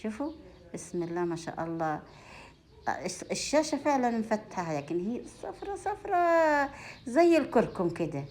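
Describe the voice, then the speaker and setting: A woman's voice: fairly high pitch, big pitch swings, fairly quick, pauses often, a little mellow. Upper Egyptian woman, chatty home vlogger. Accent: Upper Egyptian (Sa'idi). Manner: effusive blessings and endearments, repeats phrases. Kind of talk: casual chat to viewers, showing food gifts.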